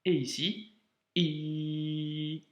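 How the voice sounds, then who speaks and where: Reassuring French teacher, echoey room. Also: male voice, on the high side, little pitch movement, extremely slow, dull audio. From France. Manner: casual, reassuring, frequent "hein" and "d'accord". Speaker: Arabic reading teacher. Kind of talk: friendly tutorial speaking directly to the learner.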